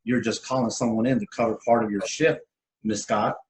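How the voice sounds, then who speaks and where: A man playing a stern, officious railroad depot boss in a play reading, speaking in a measured, reproving way. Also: lowish voice, fairly even intonation, darkish tone, a little hiss or hum, close to an everyday voice, low-quality recording. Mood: disapproving. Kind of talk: one-act play table read. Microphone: video call.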